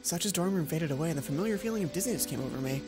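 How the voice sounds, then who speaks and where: A woman hosts a let's play of a visual novel. She reads the narration aloud and breaks into casual asides. Game music is clearly present under her voice. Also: low-pitched, lively intonation, very fast, a little mellow.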